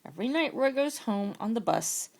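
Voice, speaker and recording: A woman narrates a children's bedtime story. Her pitch moves in lively swings and often settles into a creaky fry. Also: moderate pace. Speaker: parent reading a bedtime story.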